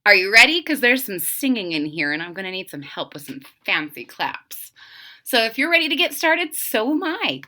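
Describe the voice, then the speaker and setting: A woman's voice: medium pitch, very animated, moderate pace, sharp tone, a little hiss or hum. A woman doing an interactive children's read-aloud, speaking directly to young listeners with questions and asides. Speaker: storytime reader.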